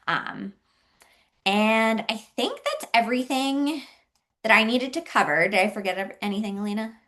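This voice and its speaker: A woman's voice: a little high, animated, normal speed, fairly bright tone. A woman presenting on an informational call in an informal, explanatory way, conversational and a little hesitant in her phrasing. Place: online group call.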